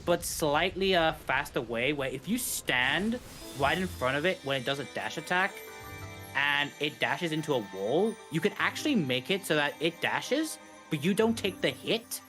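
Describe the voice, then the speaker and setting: A man's voice: fairly high pitch, expressive, moderate pace, sharp tone. A man giving live, explanatory speedrun commentary, rambling a little and rephrasing as he goes. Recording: game music behind the voice. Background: video game music.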